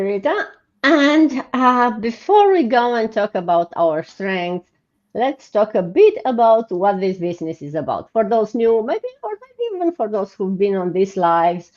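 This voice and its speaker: A woman with a Romanian accent hosts an informal livestream. Her pitch is mid-range and her manner is encouraging. The audio is a little dull and band-limited. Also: darkish tone. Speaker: host. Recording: muffled, band-limited online stream audio.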